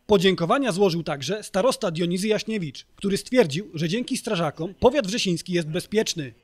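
High-pitched male news narrator. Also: normal speed. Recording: voice-over with music behind. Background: music.

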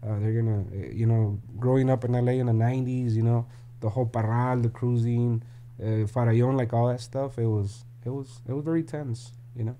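A middle-aged man from Mexico, a record label CEO talking candidly in an interview in an office, blunt, with many fillers and restarts, into a studio mic on a boom arm. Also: fairly even intonation, brisk, mellow, slight background noise. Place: office room with desk and paintings.

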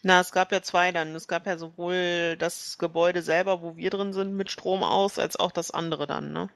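Male tabletop player, loose rambling planning talk, little pitch movement, gravelly fry. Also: flat delivery, normal speed, a bit bright, no echo, studio quality. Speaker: player in a tabletop role-playing game. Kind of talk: casual planning talk during a game.

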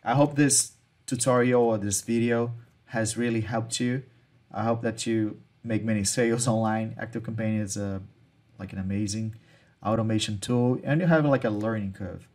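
Man walking through software features. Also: fairly low pitch, steady tone, moderate pace. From Brazil. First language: Portuguese. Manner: casual, repeats words and restarts phrases, non-native phrasing. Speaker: marketing tutorial host. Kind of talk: screen-share software walkthrough.

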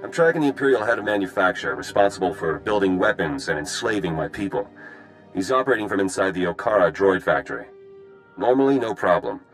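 An actor playing a stern, driven freedom fighter in a video game, with a clipped, businesslike manner. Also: male voice, low voice, big pitch swings, quick pace. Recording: music playing behind the voice.

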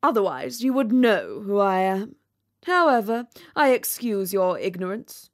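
A woman's voice: mid-range voice, sing-song, measured pace. A woman voicing a vain, haughty male firework rocket in a storybook, with a pompous, condescending manner. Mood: smug, boastful. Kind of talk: storybook character reading.

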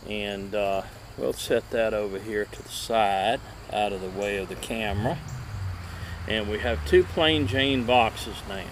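A man in his fifties presenting a casual show-and-tell as a video host, halting and lingering over his words, recorded outdoors a few feet from the camera. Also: fairly low pitch. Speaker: vintage radio collector and video host. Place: outdoor table in a wooded yard. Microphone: camera a few feet away. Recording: steady outdoor background noise.

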